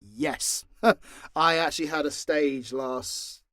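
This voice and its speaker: A man's voice: fairly high pitch, steady tone, slow pace, a bit bright, dry recording. A British man giving a frank, sweary, rambling and informal Q&A chat, close on the mic. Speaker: audio role-play creator and voice actor.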